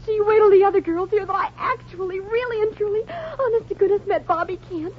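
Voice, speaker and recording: A starstruck, excitable teenage girl with an extremely high voice. She is theatrical and gushing in 1940s radio comedy style, on a dull recording with some noise. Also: fairly quick. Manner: breathless gushing, melodramatic.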